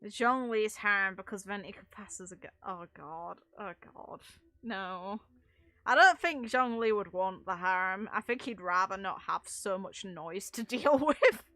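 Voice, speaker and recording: A woman's voice: medium pitch, expressive, unhurried, sharp tone, dry recording. A woman giving casual, rambling commentary on game lore, informal and a little sweary, with wry asides. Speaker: gaming video creator discussing game lore.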